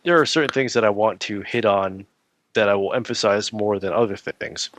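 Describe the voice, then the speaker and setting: A man's voice: lowish voice, moderate pace, fairly bright tone, slight background noise. A man chatting casually as a guest on an interview, informal and jokey as he explains things through examples. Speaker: podcast guest, adventure novelist.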